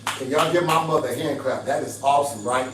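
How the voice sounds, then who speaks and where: A raspy-voiced middle-aged man on a livestream, talking straight to his viewers in an emphatic, preachy way. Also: medium pitch, brisk, recorded from a distance. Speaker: fitness livestreamer. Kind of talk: casual livestream pitch to viewers. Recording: roomy livestream audio from off-mic with faint hum.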